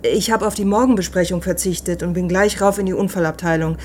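A woman playing a brisk, slightly piqued hospital doctor in a radio drama, crisp and pointed. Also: fairly low pitch, fairly even intonation, a little mellow, a little hiss or hum, lightly acted. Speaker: hospital colleague of the psychiatrist.

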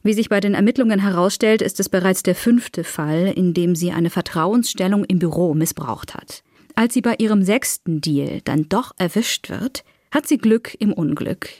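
A woman's voice: mid-range voice, normal speed, a little mellow, no echo, clean studio sound. A woman reading a scripted case summary as a podcast narrator.

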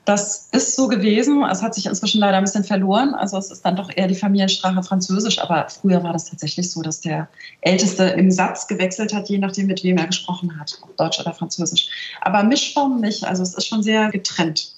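A woman's voice: mid-range voice, quick pace. Thoughtful middle-aged woman, roomy dull line. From northern Germany, near Celle. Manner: thoughtful, searching, frequent "ne?" and "also". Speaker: literary translator, interview guest. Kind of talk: reflective interview talk. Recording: roomy, slightly dull remote audio.

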